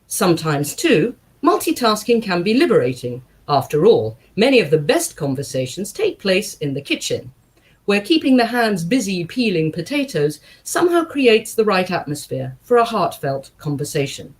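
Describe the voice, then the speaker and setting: A woman reading aloud to a congregation in an expressive style, with measured, deliberate phrasing and emphatic pauses. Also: mid-range voice. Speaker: guest reader at a synagogue service. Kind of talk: expressive book reading with short spoken introduction.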